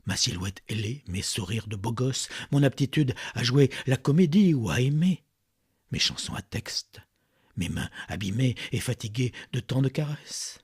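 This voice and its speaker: A man giving a dramatic poetry reading in a husky, breathy, gravelly voice, theatrically swinging between hushed murmurs and forceful swells. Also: medium pitch, lively intonation, normal speed, dry recording, clean studio sound. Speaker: poetry reader.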